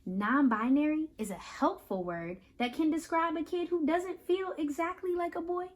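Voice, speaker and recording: A woman reading a picture book aloud to children, pausing to explain and put questions to the listeners in an instructive, animated way. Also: high voice. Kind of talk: children's read-aloud with teaching asides.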